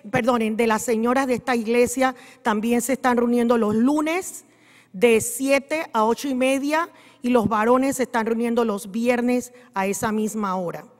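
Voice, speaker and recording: A woman's voice: on the high side, steady tone, normal speed, in a large hall. A woman at a church lectern reading parish announcements, correcting herself as she goes.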